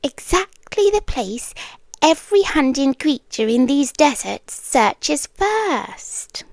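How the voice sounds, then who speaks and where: A British woman voicing a possessive, coaxing scorpion captor in an intimate ASMR roleplay, teasing and deliberate, often half-whispering. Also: high voice, sing-song, gravelly, no room sound, exaggerated voice. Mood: amused, possessive.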